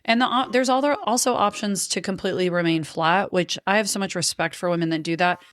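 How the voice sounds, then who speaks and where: A woman's voice: fairly low pitch, fast talker, dry recording, clean studio sound. Blunt, profane woman telling her story. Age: 30s. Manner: blunt, profane, laughs often. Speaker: podcast host. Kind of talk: casual storytelling chat.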